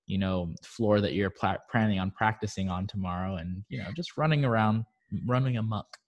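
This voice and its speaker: A man's voice: on the low side, normal speed, dull audio. Chill guy from barbados chatting as a guest, laughs a lot, own mic. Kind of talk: casual chat. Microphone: own microphone.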